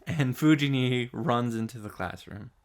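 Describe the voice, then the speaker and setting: A man's voice: medium pitch, animated, a little slow, a little hiss or hum. A man recapping a visual novel as commentary, with sarcastic, sweary, exclamatory asides. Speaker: gaming YouTuber. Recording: music playing behind the voice.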